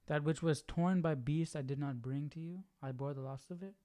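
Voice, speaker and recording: A man's voice: a little high, moderate pace, dark tone, no room sound. A man hosting a Bible study, commenting on the reading in a casual, informal way.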